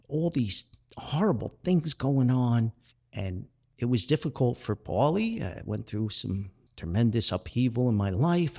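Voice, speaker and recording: Older man with very animated pitch swings. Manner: halting, repeats words and backtracks. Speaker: podcast host and author. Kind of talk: rambling personal reflective podcast monologue.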